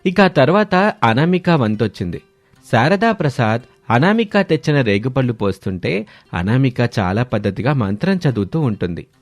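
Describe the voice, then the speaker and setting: A man's voice: medium pitch, sing-song, normal speed, no echo. A man narrating a scripted dramatic family story. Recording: studio voice over background music.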